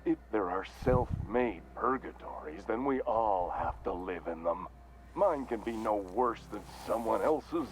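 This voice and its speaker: A man's voice: fairly low pitch, animated, a little slow, a little hiss or hum. An actor playing a corporate vendor in a mascot helmet in a video game, with forced salesman patter over weary resignation. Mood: weary, resigned. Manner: forced salesman cheer with slogans, slipping into resignation. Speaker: shop vendor.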